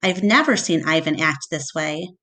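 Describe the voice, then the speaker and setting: A woman voicing an earnest, excitable young girl in a storybook read-aloud, speaking with wide-eyed urgency. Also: low voice, normal speed. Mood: worried.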